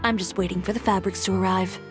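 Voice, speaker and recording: A poised fashion designer, voiced by a woman, speaking in an even, mid-pitched voice with cool, dry self-assurance. She sounds unimpressed and practical, never hurried. Soft game music plays beneath. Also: lightly acted. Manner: cool, dry, matter-of-fact. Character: composed, businesslike female boutique owner and designer. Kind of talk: game voice acting.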